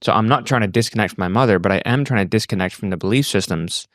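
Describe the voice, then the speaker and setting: A man in his thirties with an Australian accent, telling his personal story reflectively and haltingly, repeating words as he thinks. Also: lowish voice, no echo, clean studio sound. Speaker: podcast guest.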